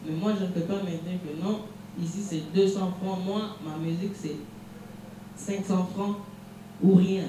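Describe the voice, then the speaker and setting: A young man from DR Congo, a musician, giving measured, reflective interview answers with frequent restarts. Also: sits high, steady tone. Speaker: musician guest. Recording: echoey room from far off, noisy hum.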